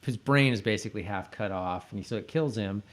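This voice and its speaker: A man co-hosting a podcast, chatting casually in a halting, repetitive way as if thinking aloud. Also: lowish voice. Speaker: podcast co-host. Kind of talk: casual chat about a TV episode.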